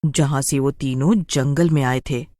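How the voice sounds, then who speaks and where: A woman's voice: a bass voice, steady tone, a little slow, mellow, no echo, clean studio sound. A woman storyteller giving scripted, dramatic narration of a story.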